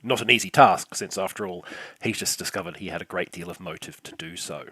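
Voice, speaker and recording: An older man hosting a film review show, a little croaky from illness, speaking with dry sarcasm and frequent filler sounds. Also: deep voice, brisk, pushed and bright. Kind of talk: scripted review narration.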